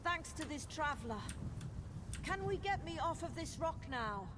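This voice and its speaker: A woman's voice: high-pitched, animated, normal speed, background noise. A woman playing a plucky, impatient young elf daughter in a video game. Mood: relieved, impatient.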